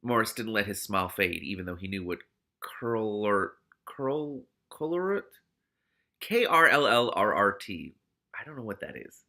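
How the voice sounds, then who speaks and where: A man with a very bright, forceful voice reads a novel aloud as a host. His delivery is lively and swings widely in pitch, with casual asides between the narrated lines. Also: unhurried. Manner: animated, stumbles and breaks off to comment. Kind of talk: audiobook-style read-aloud with casual reactions.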